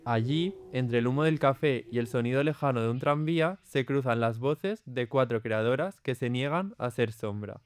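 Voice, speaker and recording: A man's voice: medium pitch, normal speed, hardly any pauses. A man narrating an audio drama in a solemn, literary storytelling manner. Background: music.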